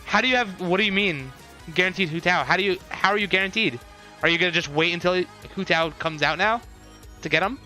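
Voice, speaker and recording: Bright-voiced man chatting on a stream. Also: high voice, fairly quick, soft background music. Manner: thinks aloud, chatty with viewers. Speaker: live streamer. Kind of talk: casual live stream reaction chat.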